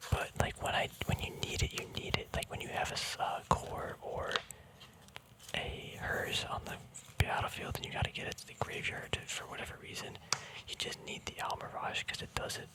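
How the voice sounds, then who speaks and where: A man whispers throughout in a breathy, voiceless hush. He talks casually in an ASMR style, murmuring his commentary as if thinking aloud, with a hesitant, unscripted flow. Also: normal speed, poor audio. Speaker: ASMR video creator. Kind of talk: ASMR, casual rambling commentary on a card deck.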